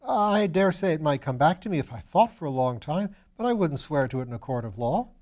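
Man acting a flustered young upper-class student, pitched up. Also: brisk. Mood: flustered, unsure. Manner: excitable, self-deprecating, old-fashioned slangy speech.

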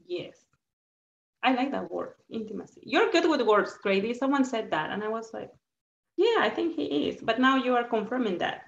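A woman's voice: fairly high pitch, lively intonation, normal speed, creaky. A woman from Peru with a Spanish accent, talking earnestly as a panelist on a video call and sometimes searching for words. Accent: Peruvian Spanish. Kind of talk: roundtable discussion. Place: home room on video call. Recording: roomy, muffled video call.

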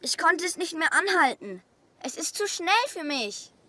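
An actor playing an excitable, reckless little boy in a children's cartoon audio drama. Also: very high-pitched, normal speed, dry recording, heavily acted. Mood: sheepish.

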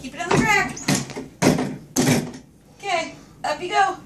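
A middle-aged woman in a home hallway, coaxing a small child in a high sing-song voice, heard from across the hallway on a camera mic. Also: a little slow. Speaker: family member playing with a toddler. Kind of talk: home video. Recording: echoey hallway, far from mic, loud noise.

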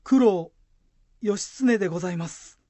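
A woman's voice: mid-range voice, moderate pace, warm tone, no echo, faint music behind, lightly acted. A woman acting in a Japanese radio drama as an earnest, devoted young samurai lord who uses formal, archaic speech.

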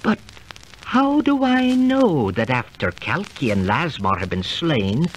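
An actor playing a wary, treacherous plotter in an old radio drama, giving conspiratorial orders in stilted archaic phrasing. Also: male voice, fairly high pitch, big pitch swings, slow, low-quality recording. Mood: wary scheming. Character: scheming, wary, treacherous conspirator plotting murder in ancient times. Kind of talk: golden-age radio drama acting. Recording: old radio recording with faint noise.

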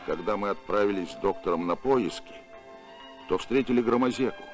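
A man voicing a confident, steady heroic space captain in a cartoon, firm and assured. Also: on the low side, fairly quick, faint music behind, natural voice. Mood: matter-of-fact.

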